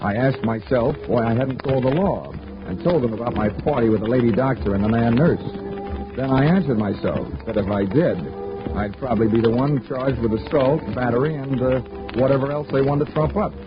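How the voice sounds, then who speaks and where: A man's voice: mid-range voice, a little fast, lightly acted. An actor playing a hard-boiled male insurance investigator who narrates his own case, with a dry, world-weary, wisecracking manner in a 1940s radio drama. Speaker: narrator and lead. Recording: muffled old radio recording with music.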